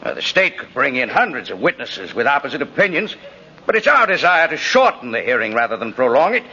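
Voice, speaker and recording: Indignant male district attorney, bright forceful tone, formal, muffled old radio recording. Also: mid-range voice, very animated, brisk, slightly rough, heavily acted. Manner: forceful, declamatory. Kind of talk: 1950s radio drama acting.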